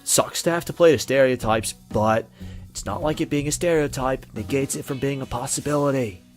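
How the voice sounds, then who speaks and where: An actor voicing a laid-back, spacey young party dude who talks in slangy, unbothered fashion in a visual novel. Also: male voice, mid-range voice, moderate pace, faint music behind, close to an everyday voice.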